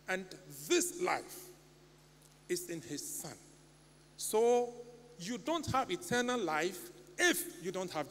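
A middle-aged man from Ghana with a Ghanaian English accent, preaching a sermon from the pulpit in an earnest, pleading manner. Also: sits high. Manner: earnest, pleading, animated hand gestures. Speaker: lay preacher. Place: church sanctuary. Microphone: stand mic at pulpit. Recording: long church reverb, faint hum.

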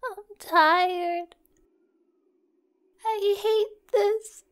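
A woman's voice: very high voice, steady tone, heavily acted. A woman playing a timid, despairing schoolgirl in a game dub, with a small, girlish, whiny voice. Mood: tearful, bitter. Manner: whiny, drawn-out delivery. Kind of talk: dramatic video game dub acting.

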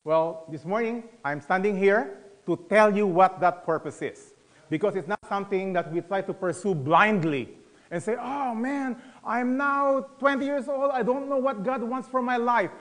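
A man's voice: high voice, normal speed, poor audio. A middle-aged man teaching a Bible study in a conversational, emphatic way, asking the audience questions. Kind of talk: sermon.

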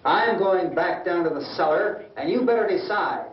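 Combative American family man, middle-aged, high-pitched, high-strung, insistent, old horror film, distant echoey soundtrack. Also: expressive, normal speed, dull audio. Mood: stubborn, defiant. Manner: argumentative, insistent, repeats himself. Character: stubborn, combative, frightened family man hiding from the undead.

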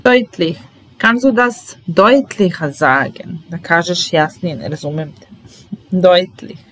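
A young woman teaching German vocabulary in a slow, deliberate drill, saying each word and repeating it calmly. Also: fairly low pitch, poor audio. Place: small indoor room at a desk.

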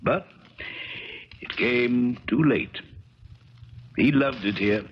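Slow-talking, kindly old uncle. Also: male voice, mid-range voice, slightly rough. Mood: wistful. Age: older. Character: kindly old recluse uncle, tender and wistful. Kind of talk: 1970s radio drama acting. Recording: dull, crackly 1970s radio recording.

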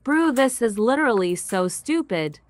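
A woman's voice: on the high side, expressive, normal speed, no echo, natural voice. A woman playing an irritated, sarcastic young gamer who talks in blunt, exasperated slang. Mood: annoyed, incredulous. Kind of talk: scripted casual gamer dialogue.

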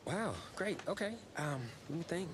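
An actor playing an eager young sports reporter angling for a scoop in a video game cutscene. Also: male voice, a little high, normal speed, natural voice. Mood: eager, persuasive. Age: young adult. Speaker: student reporter. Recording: noisy ambience behind the voice.

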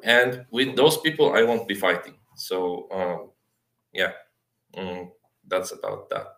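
A man with a fairly low voice gives a technical talk in a halting way, pausing often on filler sounds. The sound is roomy and echoey, as from a video call in a small room. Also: expressive, gravelly, bright, forceful tone. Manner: halting, hesitant delivery with frequent filled pauses. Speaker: software developer giving a talk. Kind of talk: technical conference presentation over slides.

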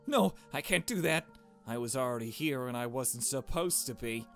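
A man's voice: mid-range voice, lively intonation, moderate pace, a bit bright, faint music behind, voice pushed up. A man voicing a nervous, shaken young woman who narrates a visual novel mystery in a hesitant, uneasy way. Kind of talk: visual novel story read aloud.

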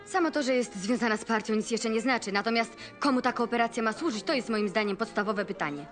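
A woman's voice: a little high, fast, music in the background, lightly acted. An actress playing a direct, gracious young woman, a landowner's daughter, in a period television drama. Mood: composed.

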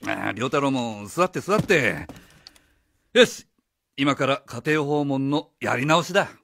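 Animated, brash, meddling man played by an actor. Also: mid-range voice, unhurried, gravelly, heavily acted. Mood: pompous. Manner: casual, pushy, theatrical lecturing. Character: brash, meddling, good-hearted middle-class stranger uncle. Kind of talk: Japanese radio drama acting.

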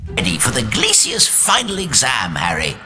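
Little old British wizard teacher, exuberant, wildly swooping pitch, bright, game music behind. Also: male voice, wildly swooping pitch, moderate pace, full character voice. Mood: excited. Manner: exuberant, theatrical delivery.

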